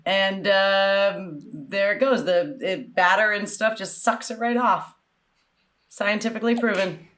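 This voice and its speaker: A woman's voice: mid-range voice, measured pace, fairly bright tone. Female comedy panelist, mid-range voice, folksy step-by-step mock advice. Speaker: quiz panelist. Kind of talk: improvised mock-instructional comedy.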